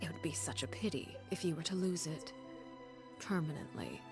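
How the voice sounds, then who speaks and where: A cold, measured matriarch who threatens softly and never raises her voice. Her tone sits low and even, with faint music playing behind her. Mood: menacing. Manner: calm, measured, quietly menacing. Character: cold, poised woman leading a ruthless house. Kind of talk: video game voice acting.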